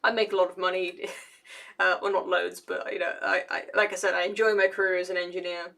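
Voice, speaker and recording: Young woman engineer chatting to camera, gravelly voice, kinda flat, lots of ums and restarts. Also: lowish voice, a little fast. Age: young adult. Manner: hesitant, rambling, many fillers and restarts. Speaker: engineer and video essayist. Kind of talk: casual commentary to camera.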